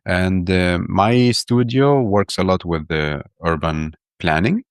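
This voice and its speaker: A man's voice: sits low, slow, smooth, no echo, clean studio sound. A man in his thirties from Iraq, a guest on a podcast, talking with enthusiasm and often restarting and repeating his words. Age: 30s. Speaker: podcast guest, urban planner. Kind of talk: casual interview talk.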